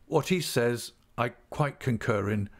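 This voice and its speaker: A man voicing a weary older businessman in an audiobook, speaking in a monotonous, forced way. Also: fairly high pitch, measured pace, pitched above the performer's own voice. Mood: dull resignation. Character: weary, subdued older businessman, dominated by partner. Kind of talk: audiobook character acting.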